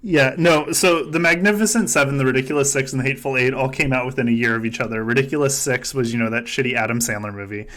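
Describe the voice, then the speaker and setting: A man with a medium-pitched voice hosts a casual game podcast. He talks in a joking, sweary way and laughs often as he chats with his friends. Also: quick pace, hardly any pauses, fairly bright tone. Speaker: podcast host. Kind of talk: casual chat running a simulated reality-show game.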